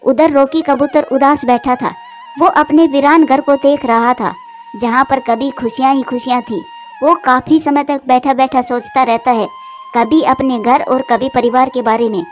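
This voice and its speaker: A female storyteller with a high voice narrates a scripted children's cartoon tale. Soft music runs underneath her reading. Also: steady tone, moderate pace.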